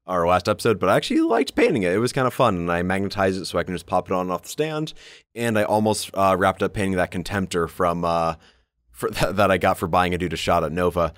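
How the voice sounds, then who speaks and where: A man's voice: low-pitched, expressive, quick pace, dry recording, clean studio sound. A man co-hosting a podcast in casual hobby chat, wry and informal, with some swearing. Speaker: podcast co-host.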